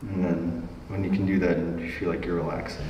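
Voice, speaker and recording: A man's voice: deep voice, level tone, fairly quick. A man working as a chiropractor, casually coaching a patient through positions and stretches. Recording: very echoey hall, distant mic, faint noise.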